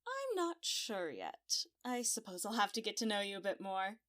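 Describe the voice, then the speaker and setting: A woman's voice: medium pitch, big pitch swings, moderate pace, fairly bright tone. An actress playing a wry young lady of a castle in an audio drama, with a dry, teasing manner.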